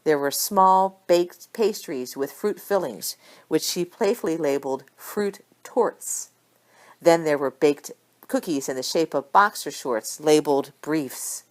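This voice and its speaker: A woman reading a story aloud as an amateur audiobook narrator, with expressive delivery. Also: deep voice.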